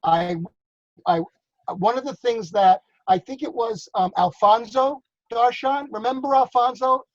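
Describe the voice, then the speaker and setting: A man's voice: very high-pitched, unhurried, dry recording. A man from New York on a video call, talking loosely about drone work, repeating words and asking others to agree. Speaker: drone business consultant. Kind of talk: casual video call discussion. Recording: dull lo-fi call audio.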